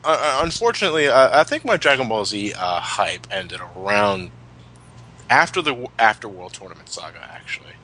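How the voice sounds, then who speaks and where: A man's voice: fairly low pitch, sing-song, brisk, pushed and bright, rough recording. A man in a casual fan discussion, ranting emphatically and swearing freely. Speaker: commentary show host.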